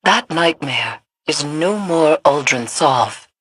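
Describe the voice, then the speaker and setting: A cryptic female seer in a video game, stern yet reassuring. Her husky voice has a tense edge and she speaks slowly and gravely. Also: low-pitched, animated, a bit bright, dry recording, clean studio sound, heavily acted. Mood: solemn. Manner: grave, deliberate. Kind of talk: video game drama acting.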